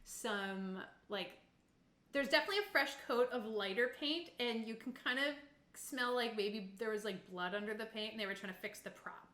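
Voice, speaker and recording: Bright, higher-voiced woman running a game. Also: moderate pace. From Canada. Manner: giggly, easygoing, conversational. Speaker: game master of a tabletop roleplaying game. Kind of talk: casual improvised game narration with players. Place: indoor room with other players. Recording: roomy, echoing room sound.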